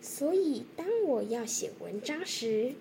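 A schoolgirl speaks from a script, high and very slowly, with lively intonation. She has a Taiwanese Mandarin accent. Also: gravelly, slight background noise. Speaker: student author. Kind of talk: scripted self-introduction.

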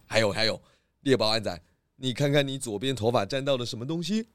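A man voicing a calm, methodical pig detective who patiently leads with questions in a children's audio drama. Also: mid-range voice, dry recording, lightly acted. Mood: polite, probing. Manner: measured, patient, leading with questions.

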